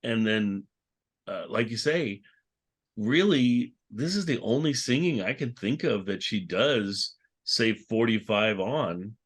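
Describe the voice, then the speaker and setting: A man with a fairly low voice talks on a panel. His style is casual and conversational, as in an informal group discussion. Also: animated, takes it slowly, slightly rough.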